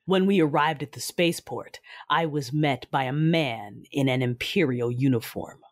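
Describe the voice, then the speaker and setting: Woman as husky, menacing dark sorceress ruler. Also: extremely low pitch, moderate pace, no echo, studio quality. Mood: cold, brooding. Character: cold vengeful Sith ruler, seething and menacing. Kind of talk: first-person audio drama narration.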